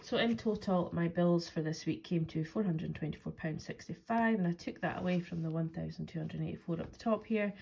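A woman's voice: lowish voice, fairly quick, gravelly, hardly any pauses, a little mellow, breathy edge. A Scottish woman rambling casually to her channel about her weekly budget, sounding chesty as she comes down with a cold, filming at a table indoors. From Scotland. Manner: rambling, friendly, many ums. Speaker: budgeting vlogger. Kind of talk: casual chatty to-camera talk.

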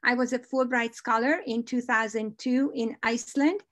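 An upbeat woman with a Hungarian accent, presenting research over a video call. Her voice sits fairly high and she talks with eager energy. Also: fairly even intonation, moderate pace, a bit bright. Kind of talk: conference presentation.

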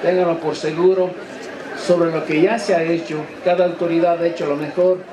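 A man's voice: on the high side, level tone, normal speed, recorded from a distance. A man in his forties with an Ecuadorian accent giving a public speech as a local politician. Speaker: mayor-elect. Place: outdoor canopy at sports ground. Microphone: handheld microphone. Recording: echoey noisy outdoor PA, crowd.

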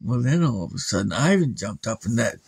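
Husky, folksy storyteller, a man. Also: fairly low pitch, very animated, brisk, darkish tone, no echo, studio quality. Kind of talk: improvised scary bedtime storytelling.

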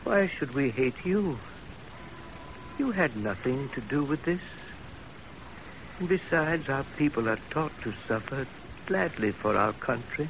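Slow, gentle old grieving father. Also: medium pitch, expressive. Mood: patient sorrow. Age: older. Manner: gentle, halting, sorrowful. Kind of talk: 1930s radio acting. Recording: noisy old 1930s radio recording.

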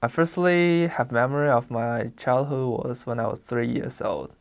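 A young man from China with a Chinese accent, speaking English as a second language in an interview about his childhood, halting and searching for words, laughing easily. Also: mid-range voice, creaky, clear, resonant tone. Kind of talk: casual life-story interview.

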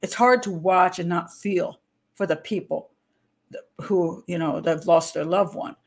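An older woman, a criminal profiler, hosting a casual livestream from a small room at home, talking into a webcam. She rambles, interrupts herself and laughs easily, and her voice is a little hoarse. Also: lowish voice, fairly even intonation. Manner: chatty, rambling, self-interrupting, quick to laugh. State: hoarse, clearing throat.

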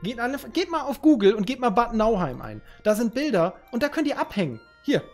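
A man's voice: very high-pitched, big pitch swings, a little fast, faint music behind. A man, chatting casually and jokingly with his stream viewers through a headset in a room at home. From Northern Germany. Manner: loose and joking, reads chat aloud, slangy.

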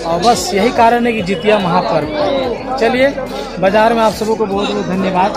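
A man's voice: sits high, moderate pace. A man giving casual market commentary as a vlogger, mixing English words into Hindi. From Jharkhand, India. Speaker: vlogger, host. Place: busy open-air village market. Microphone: handheld phone at arm's length. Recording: outdoor crowd noise with music behind.

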